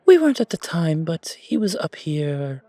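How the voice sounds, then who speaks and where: A man voicing a refined, gracious and quietly enigmatic confidant in an audio roleplay, with polished, deliberate, theatrical phrasing. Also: a little high, very animated, dry recording. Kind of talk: fan audio roleplay acting.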